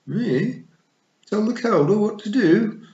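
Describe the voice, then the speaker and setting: A man voicing a dry, unhurried male talking toad with a drawling, sardonic manner. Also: high-pitched, lively intonation, mellow, pitched above the performer's own voice. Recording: echoey, slightly dull.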